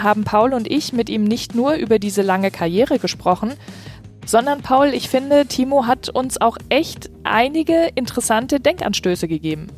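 A woman reads a scripted podcast introduction in an upbeat way. Her voice sits a little high. Faint music plays underneath. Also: darkish tone. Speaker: podcast co-host.

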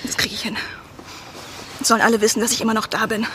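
An actress playing a young forest activist, worn down yet defiant, in an audio drama. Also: female voice, fairly high pitch, level tone, fast talker, a bit bright, slight background noise, natural voice. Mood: weak, defiant.

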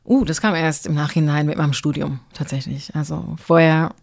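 A young woman from Germany speaks as an interview guest, in a low, easygoing voice. She talks about her music at ease. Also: fairly even intonation, moderate pace, gravelly. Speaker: singer-songwriter guest. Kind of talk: casual interview answers.

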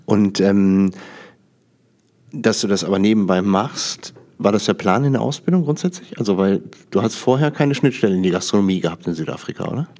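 A man from the Lower Rhine in Germany hosting a conversational interview, asking informal, rambling questions that often end in tag questions. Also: expressive, brisk, a little mellow. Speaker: podcast interviewer.

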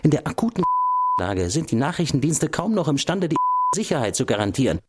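An actor playing a beleaguered intelligence agency chief in a radio play, officious, defensive and agitated. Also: male voice, fairly high pitch, sing-song, fairly quick, heavy background noise. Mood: defensive, official. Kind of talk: radio drama acting.

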